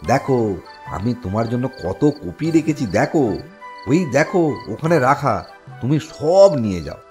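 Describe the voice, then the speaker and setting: A man's voice: mid-range voice, very animated, moderate pace, darkish tone, soft background music, lightly acted. A man playing a crafty, fearful village husband in a cartoon fairy tale, with a theatrical, wheedling manner.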